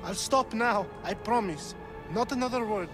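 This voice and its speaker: An actor playing a cowardly, poor young artist who pleads fearfully in a video game cutscene. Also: male voice, high-pitched, normal speed, over music, close to an everyday voice. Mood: frightened pleading.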